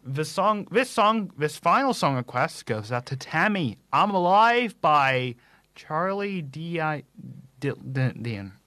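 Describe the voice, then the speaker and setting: A man with a slightly raised voice hosts a casual quiz segment for a radio show. He reads his clues haltingly and often stumbles and starts over. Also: fairly high pitch, lively intonation, pushed and bright. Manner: halting reading with stumbles and restarts.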